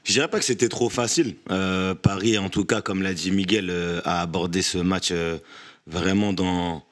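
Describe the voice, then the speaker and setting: Very low-voiced male panelist. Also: steady tone, normal speed. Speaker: football panelist. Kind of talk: football analysis in casual chat.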